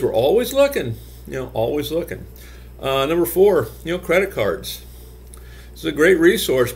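A man's voice: mid-range voice, a little hiss or hum. Older man giving casual business advice. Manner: conversational, many "you know" fillers, gestures with hands. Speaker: gym business consultant. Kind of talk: talking-head business advice video. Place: office with shelves, indoors.

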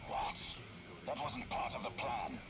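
An actor playing a gruff, businesslike military officer in a video game, terse, over a radio filter. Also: male voice, unhurried, over loud music. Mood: businesslike, alarmed. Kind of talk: dramatic game cutscene acting.

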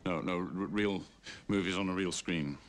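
An actor playing a nervous, fussy young British man who stammers and hesitates as he shows a flat to a tenant. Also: low voice, normal speed, slight background noise, lightly acted. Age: young adult. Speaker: landlord showing a flat. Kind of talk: film drama dialogue.

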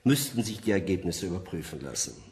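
Courteous official with lively pitch. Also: male voice, brisk, gravelly, exaggerated voice. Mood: reassuring, official. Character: polite, formal male interviewer running an experiment. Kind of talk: German radio play acting.

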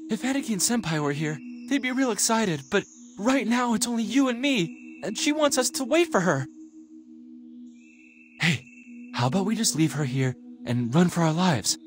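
An actor playing a nervous, excitable young male student in a video game, stammering and good-hearted but cowardly. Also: high voice, moderate pace. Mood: anxious, dismayed. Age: young adult. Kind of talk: video game dialogue acting.

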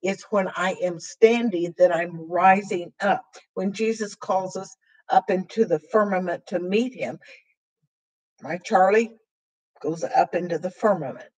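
An older woman teaching sign language, explaining signs slowly with a voice worn hoarse after surgery, filling pauses with ums. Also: lowish voice, a little mellow, low-quality recording. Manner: slow, halting, with frequent fillers while signing. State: voice weakened and hoarse after throat intubation. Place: small room with dark curtain backdrop, indoors.

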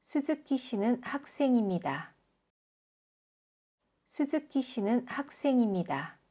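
A woman from South Korea reading example sentences as a language teacher, enunciating carefully and deliberately. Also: a little high, big pitch swings, mellow, husky.

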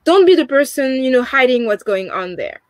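A young woman with a French accent talks earnestly over a video call, her voice sitting a little high and sounding close and dry. She often restarts her phrases and fills them with "you know". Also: sing-song, moderate pace, no room sound. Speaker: interview guest. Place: home room, indoors.

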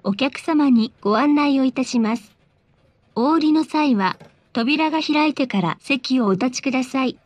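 A woman reading scripted bus announcements in a polite, formal, measured way. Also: high voice, dry recording.

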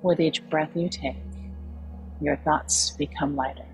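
A woman leading a guided meditation in a calm, soothing, unhurried way with long pauses. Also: low-pitched, poor audio. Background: soft background music.

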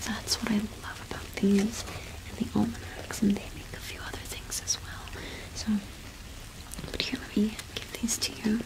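A young woman speaking in a soft, breathy whisper close to the microphone, in a gentle, halting ASMR roleplay as a cottage witch shopkeeper. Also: medium pitch, little pitch movement, rough recording. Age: young adult.

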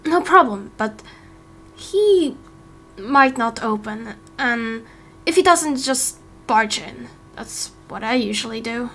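A woman's voice: fairly high pitch, lively intonation, slow pace, a bit bright, full character voice. A woman voicing a friendly, encouraging young village man in a game roleplay, with a reassuring manner. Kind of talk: amateur game roleplay acting.

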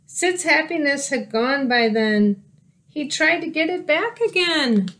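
Female storybook reader, forties: high voice, slow careful pacing, expressive read-aloud delivery. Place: small room indoors by windows.

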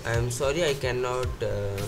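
A man with an Indian accent talks through a screen demo in a loose, unscripted way, pausing and correcting himself. His voice sits at an ordinary middle pitch. Music plays clearly underneath him. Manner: unscripted, thinking aloud with fillers and self-corrections. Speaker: tech video host. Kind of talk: casual screen-recorded tech walkthrough. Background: background music.

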